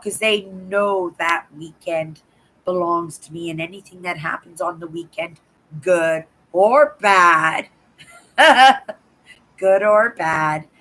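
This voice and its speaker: Older woman, livestream host, hoarse from a sore throat; rambling chatty delivery, pitched slightly low. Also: fairly bright tone, poor audio. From Canada. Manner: rambling, chatty, keeps adding "right?". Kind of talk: casual live chat to viewers.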